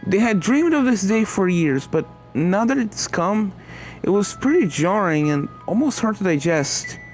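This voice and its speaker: A woman's voice: mid-range voice, big pitch swings, unhurried, a little mellow, soft background music. A woman reading fan fiction aloud as scripted story narration.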